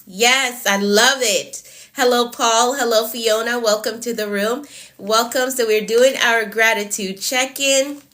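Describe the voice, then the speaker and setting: A woman's voice: a little high, steady tone, a little slow, a bit bright. A woman speaks with a blocked nose and a voice that is starting to wear out. She coaches live viewers, calls out their names and repeats her encouragements. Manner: encouraging, repeats phrases, greets viewers by name. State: congested and losing her voice. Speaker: productivity coach hosting a livestream. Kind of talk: live stream chat with viewers.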